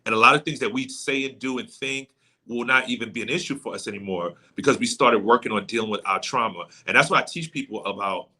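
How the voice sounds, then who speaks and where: A man's voice: medium pitch, quick pace, sharp tone, breathy edge. A man speaking as a pastor guest in an interview, teaching conversationally and repeating key points as he explains. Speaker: guest, pastor and trauma coach.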